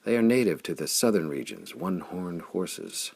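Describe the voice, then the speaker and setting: A man voicing a bold, reckless swordsman in an adventure audiobook, gravelly, urgent and terse. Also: sits low, sing-song, normal speed, a little mellow, full character voice. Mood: hushed. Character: bold, reckless swordsman hero, quick and commanding.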